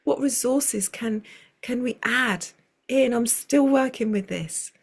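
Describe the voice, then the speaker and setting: A woman's voice: on the high side, slow pace, husky. Flowing, rambling woman guest. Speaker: circle facilitator, guest. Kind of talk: conversational interview. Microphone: video call.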